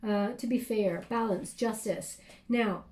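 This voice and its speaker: A woman's voice: mid-range voice, slow pace, a little mellow, roomy sound. A woman giving a chatty tarot card reading at a table indoors, her voice scratchy from illness, often adding "okay?". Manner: chatty, reassuring, tag questions like okay. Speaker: tarot reader.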